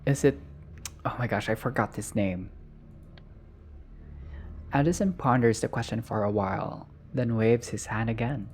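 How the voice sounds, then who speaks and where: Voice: mid-range voice, unhurried, pauses often, a little mellow, a little hiss or hum. A video game streamer reading a visual novel aloud and dropping blunt, sweary reactions in a casual let's play.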